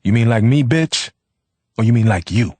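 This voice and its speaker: A bitter, sneering young man hurls coarse taunts in a husky, raised voice that lurches up and down in pitch, as a narrator acts him out. The sound is close and dry. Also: lively intonation, normal speed, darkish tone, dry recording, natural voice. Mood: mocking. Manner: taunting, coarse street talk. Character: hot-tempered young man, sneering and cruel.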